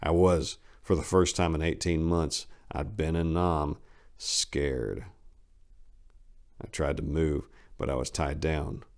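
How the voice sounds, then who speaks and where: A man's voice: rumbling low, steady tone, slow pace, a little mellow. A man narrating a short story as a dramatic reading, as its host.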